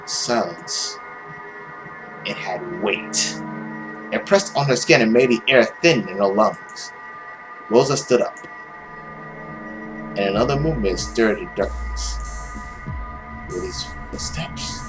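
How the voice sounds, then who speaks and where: Expressive young man reading a story game aloud. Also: on the low side, slow, pauses often, low-quality recording. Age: young adult. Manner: theatrical, suspenseful storytelling. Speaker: let's play host. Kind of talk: dramatic read-aloud of a visual novel with casual commentary. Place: indoor room at home. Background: game music.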